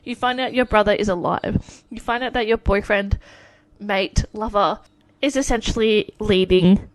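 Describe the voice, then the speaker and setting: A woman's voice: mid-range voice, moderate pace, dry recording, low-quality recording. Giggly young woman podcaster, dry close sound. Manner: giggly, animated retelling of scenes. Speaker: podcast co-host. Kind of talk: casual book chat.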